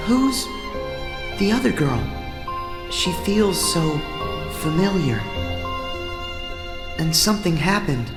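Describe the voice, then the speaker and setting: A man's voice: high-pitched, vocal fry, heavily acted. A man voicing an earnest, curious teenage boy hero in a video game cutscene. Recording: game audio with long reverb and music.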